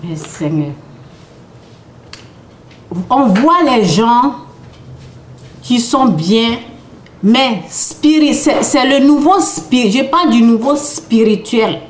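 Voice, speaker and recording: A woman's voice: a little high, animated, slow pace, long pauses. A woman talks through a spiritual lesson in an informal, unscripted way. She often checks that her listeners follow and repeats her phrases as she goes. Her voice rings around a roomy space with noticeable echo. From Côte d'Ivoire. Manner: restarts and repeats phrases, speaking off the cuff. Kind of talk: informal spiritual teaching to an audience.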